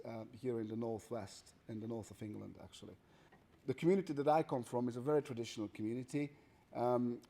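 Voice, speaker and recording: A man speaks in a relaxed, conversational way. His voice is dark and mellow. He keeps checking in with his listener as he talks. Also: mid-range voice. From Hungary. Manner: conversational, often adds "right?" and "you know". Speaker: guest artist.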